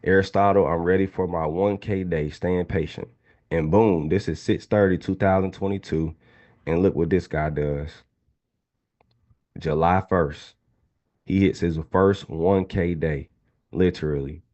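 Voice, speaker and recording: Deep-voiced young man hyping a live class. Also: fairly even intonation, measured pace, darkish tone, lo-fi sound. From Atlanta, Georgia. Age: 20s. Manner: casual, hyping, talks to a live chat. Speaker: trading instructor. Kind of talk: live online trading class.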